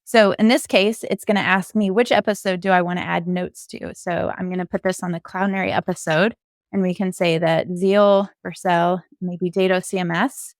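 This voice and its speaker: Woman with creaky voice explaining step by step. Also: on the low side, steady tone, moderate pace, no echo, clean studio sound. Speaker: podcast co-host giving a demo as guest. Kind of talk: informal screen-share coding walkthrough.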